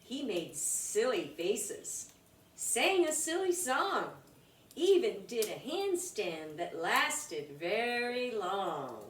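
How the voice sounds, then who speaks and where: Slow, very animated woman reading a children's story. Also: a little high. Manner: animated, deliberate phrasing for young children. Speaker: storybook reader. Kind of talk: expressive read-aloud of a rhyming children's picture book. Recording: roomy, distant mic with background noise.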